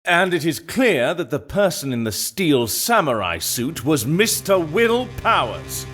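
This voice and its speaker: A man's voice: fairly high pitch, big pitch swings, a little slow, fairly bright tone, faint music behind. A man voicing a polished, haughty prosecutor in a courtroom game, with a formal, theatrical manner. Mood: smug, assured. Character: smug, polished male prosecutor, easily flustered. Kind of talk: video game fan voice acting.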